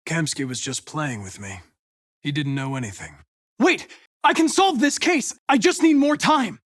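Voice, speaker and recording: A young American-accented android detective from a video game. His delivery is clipped and formal, relentlessly focused on the mission, yet his pitch moves widely. The recording is very dry and close. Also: animated, fairly bright tone, no echo. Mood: urgent, shouting. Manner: precise, clipped, formal, measured, insistent when pressed. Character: young male android police investigator, polite, composed, relentlessly mission-driven. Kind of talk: video game voice acting, isolated dramatic lines.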